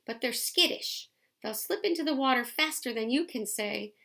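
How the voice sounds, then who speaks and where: Woman reading a teacherly mom in a picture book, gravelly and bright. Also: fairly high pitch, animated, normal speed, full character voice. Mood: explaining. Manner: explaining, teacherly. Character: patient nature-loving mother reading a field guide.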